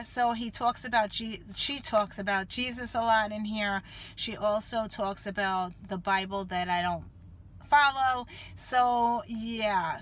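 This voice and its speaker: Casual book vlogger, female, a touch nasal from a cold, higher voice, unhurried and full of "um"s. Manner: relaxed, filler-heavy, unhurried. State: slight cold, a little nasal. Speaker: book reviewer. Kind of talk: casual book review vlog.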